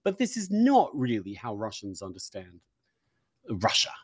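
A man's voice: lowish voice, wildly swooping pitch, unhurried. A man hosting an intellectual interview in a conversational, discursive and emphatic way, as if thinking aloud. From Britain. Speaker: interviewer, host.

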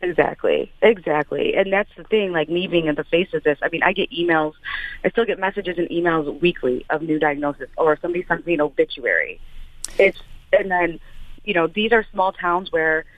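A woman's voice: fairly low pitch, a little fast. Interview guest, woman, late thirties, from Michigan. Narrow phone-like sound without room echo, earnest conversational delivery. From Michigan, United States. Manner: earnest, repeats phrases for emphasis. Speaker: interview guest, community activist. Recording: thin phone-like line.

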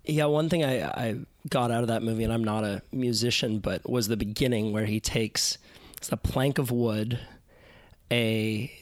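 Hoarse podcast guy, kinda lost his voice, casual chat, lots of ums, dry sound. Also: medium pitch, fairly even intonation, normal speed, no room sound. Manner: thoughtful, with frequent fillers and restarts. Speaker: podcast host. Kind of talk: casual interview.

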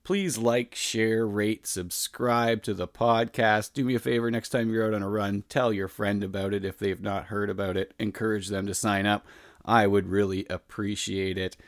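Low-ish guy hosting a trail podcast, upbeat and thankful, dry close mic. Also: little pitch movement, moderate pace, no echo. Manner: upbeat and appreciative. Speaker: podcast host. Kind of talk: podcast intro and interview.

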